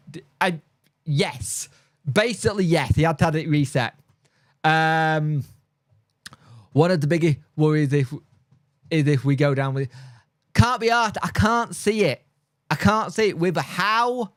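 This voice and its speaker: A man hosts a live stream from home, his voice a little high for a man and clean, recorded close and dry. He rambles bluntly through viewer comments with a mild speech impediment, plenty of fillers and restarts, and he sounds tired. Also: dry recording. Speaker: football fan channel host.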